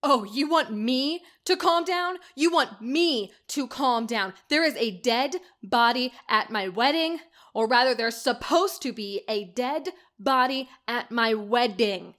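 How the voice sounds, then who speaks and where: A woman's voice: fairly high pitch, lively intonation, a little slow, few pauses, fairly bright tone. A woman playing an irate, sarcastic, demanding bride in an audio drama. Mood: sharp, demanding.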